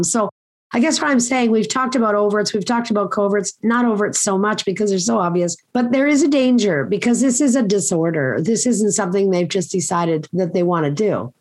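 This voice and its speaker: Woman, podcast host, slightly hoarse, rambling casual monologue, earnest advice, mid pitch. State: a bit hoarse.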